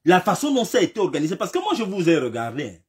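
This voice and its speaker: Animated, impassioned man with a cold. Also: high-pitched, rapid-fire. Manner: emphatic, repetitive, rhetorical questions, rising to shouts. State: has a slight cold. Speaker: political commentator. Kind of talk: impassioned political commentary.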